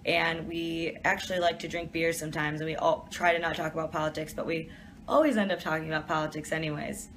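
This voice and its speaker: A woman's voice: low voice, fairly even intonation, fast talker, slight background noise, rough recording. A young woman from Detroit, chatting casually as she reads out viewer questions and answers them in an office during a live stream. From Detroit, USA.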